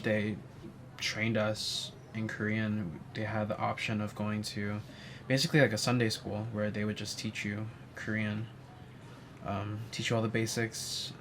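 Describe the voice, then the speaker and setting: A young man tells his own story in a low, fairly flat voice, stopping often to say "um" and start again. He sounds soft and thoughtful. Faint ambient noise sits under the recording. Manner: soft-spoken, many "um"s and restarts. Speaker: interviewee. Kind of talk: reflective personal storytelling.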